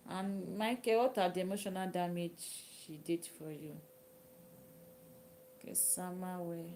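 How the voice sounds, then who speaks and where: A Nigerian woman whose native language is Yoruba, chatting casually with viewers on a live stream, sometimes dropping to a soft whisper. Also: lowish voice, fairly even intonation, measured pace, slight background noise. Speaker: livestream host. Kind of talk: casual live chat reading comments.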